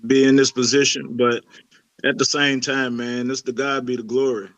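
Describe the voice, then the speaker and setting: A man's voice: medium pitch, flat delivery, moderate pace, a bit bright, no echo, rough recording. A middle-aged man, a youth pastor, talking casually in an interview, laid-back, with many fillers and restarts. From Lebanon.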